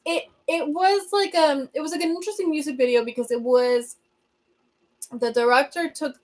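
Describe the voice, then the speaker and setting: A young woman from Venezuela, a native Spanish speaker, hosting a livestream and chatting casually as she answers comments, laughing often and filling pauses with um. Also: sits high, fairly even intonation. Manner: chatty, laughs often, many ums and restarts. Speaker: livestream host.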